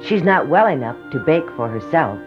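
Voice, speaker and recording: A woman's voice: deep voice, sing-song, normal speed, voice pushed down. A woman reading a storybook aloud, voicing a caring but firm mother giving instructions to her daughter. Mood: instructing. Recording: dull lo-fi recording with music behind.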